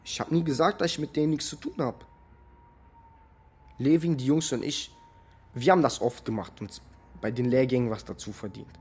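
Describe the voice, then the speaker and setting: An actor playing a brash, excitable young street tough in a naturalistic radio drama, speaking in blunt, colloquial slang. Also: male voice, medium pitch, moderate pace, long pauses, slightly rough. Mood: guarded. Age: young adult. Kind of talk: interview monologue in a naturalistic German radio drama.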